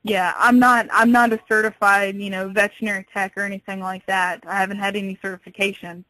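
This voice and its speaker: A woman's voice: mid-range voice, level tone, fast, bright, forceful tone, no echo. A woman working at a pet store, explaining things patiently and a little hesitantly on a customer service phone call. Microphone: telephone.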